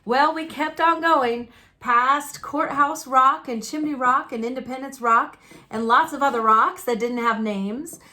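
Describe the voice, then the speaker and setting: A woman reading a children's picture book aloud as a storyteller, in an expressive, folksy and dramatic manner. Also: high-pitched. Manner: folksy, dramatic, deliberate delivery.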